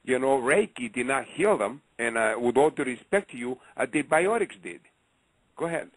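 A man's voice: mid-range voice, expressive, moderate pace, dry recording. A man with a Greek accent calling into a radio talk show, speaking passionately and emphatically, often repeating himself. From Greece. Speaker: caller. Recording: phone line on radio show.

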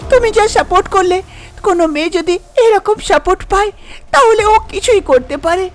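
A woman's voice: extremely high pitch, moderate pace, pitched above the performer's own voice. A woman voicing a timid, eager young bride in a cartoon story, pleading and full of feeling. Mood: tearfully grateful. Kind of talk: cartoon story character acting.

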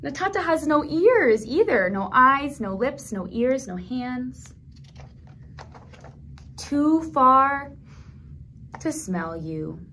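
A woman in her thirties leading a toddler storytime, speaking gently in simple phrases for small children as she reads aloud and comments. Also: high voice, big pitch swings, takes it slowly, long pauses, a little hiss or hum. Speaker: children's librarian, storytime host. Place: small indoor room at a library.

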